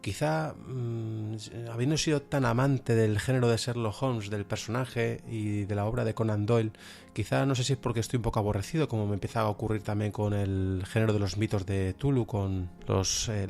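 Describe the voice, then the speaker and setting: A man's voice: on the low side, creaky, a little mellow. A man with an accent from Spain, presenting an informal podcast review in a chatty, hesitant, self-deprecating way. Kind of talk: informal review of audio dramas.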